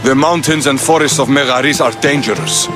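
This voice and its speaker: A man voices a gruff Spartan officer in a game cutscene. He speaks at a medium pitch with terse, stern authority, and music plays clearly behind him. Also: a little fast, natural voice. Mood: grave, commanding. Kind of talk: video game cutscene acting.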